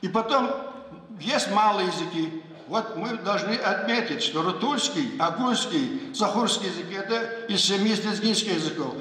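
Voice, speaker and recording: An older man from Dagestan stands before a conference audience as an education official, stressing his points and repeating them. His voice sits high and sounds bright and forceful. The sound is very echoey, with the long ringing tail of a large hall. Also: animated, normal speed. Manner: emphatic, lecturing, repeats key points. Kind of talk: conference speech.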